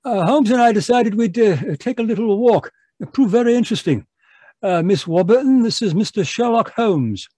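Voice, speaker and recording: A man's voice: high voice, animated, moderate pace, warm tone, slightly rough, dry recording, clean studio sound. A man playing an earnest, easily alarmed doctor and detective's sidekick, fussy and old-fashioned, in radio mystery style.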